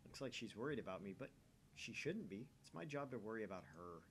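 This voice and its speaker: A man's voice: fairly low pitch, animated, fast talker, background noise. A man reading a visual novel aloud as a gaming channel host.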